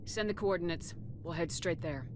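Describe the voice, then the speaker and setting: An actress playing a composed, resolute female starship commander in a video game, speaking in a firm, measured, direct way. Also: on the low side, close to an everyday voice. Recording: game capture with ambient noise.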